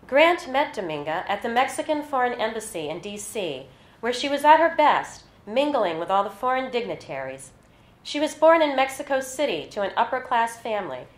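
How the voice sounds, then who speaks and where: A woman reading her own literary short story aloud at a live indoor event. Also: on the high side. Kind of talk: literary reading of scripted fiction to an audience. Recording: roomy, echoey live event recording.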